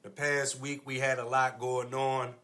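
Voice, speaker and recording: A man's voice: mid-range voice, flat delivery, a little slow, bright, forceful tone. A man hosting a casual panel discussion, talking in slangy, argumentative phrases that he repeats for emphasis. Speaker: podcast host.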